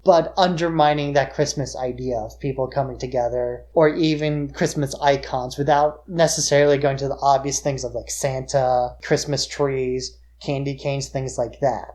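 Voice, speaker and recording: A man's voice: medium pitch, steady tone, normal speed, hardly any pauses, darkish tone. A man co-hosting a podcast, giving his views on films in an informal, explanatory way with plenty of "like". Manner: informal, explanatory, filler-heavy with frequent "like". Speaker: podcast co-host. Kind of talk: casual film discussion.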